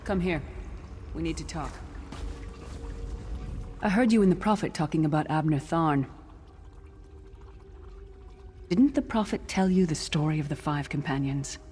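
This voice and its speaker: A woman's voice: sits low, moderate pace, long pauses, a little mellow, faint music behind, close to an everyday voice. A woman playing an earnest, blunt, battle-worn rebel companion in a fantasy game, serious and confiding as she speaks. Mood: urgent, serious. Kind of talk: scripted fantasy game dialogue.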